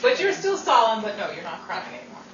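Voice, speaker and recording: A woman chatting casually and giggly with her castmates, in an animated way. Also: mid-range voice, fast talker, recorded from a distance, muffled recording. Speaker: radio drama actor and co-host. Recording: echoey room, off-mic, background noise.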